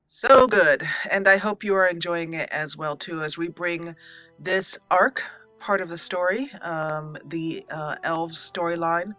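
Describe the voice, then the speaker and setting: A woman giving roleplay let's play commentary as a gaming host, with theatrical, deliberate phrasing. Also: fairly low pitch, pushed and bright.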